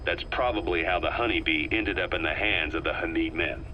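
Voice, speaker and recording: An actor playing a grim, seasoned soldier giving a grave, deliberate war briefing in a video game. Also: male voice, low-pitched, noisy background, lightly acted. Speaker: military briefing narrator in a game. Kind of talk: scripted briefing monologue in a video game cassette tape.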